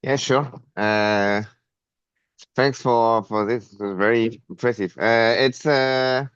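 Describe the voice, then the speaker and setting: A man's voice: mid-range voice, slow pace, fairly bright tone, clean tone, no echo, muffled recording. A man with a French accent introducing himself on a video call, hesitant, with many fillers and restarts. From France. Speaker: meeting participant. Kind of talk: online meeting self-introduction.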